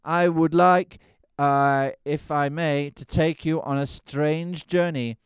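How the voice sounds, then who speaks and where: A man voicing a grave, pompous older male storyteller, speaking slowly and solemnly with hesitant restarts. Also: fairly high pitch, fairly even intonation, clean tone, no echo. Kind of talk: parody of a musical film.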